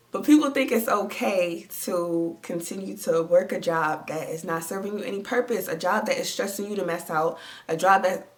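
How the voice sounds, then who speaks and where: A young woman with a stuffy, congested voice and a slightly low pitch talks casually from her home. She rambles with lots of fillers while responding to viewer comments. The recording sounds close, like a phone or camera near her. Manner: rambling, chatty, with many fillers. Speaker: vlogger. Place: at home, lying down.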